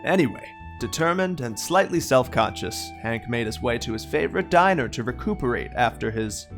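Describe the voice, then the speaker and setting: Male storyteller for audio comedy; mid-pitched, very animated, wry and mocking, soft plucked music behind. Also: moderate pace, lightly acted. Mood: sardonic. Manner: sardonic, self-aware, animated. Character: wry, mocking storyteller of a comic detective tale. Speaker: narrator. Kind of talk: scripted comic narration. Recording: faint guitar music behind.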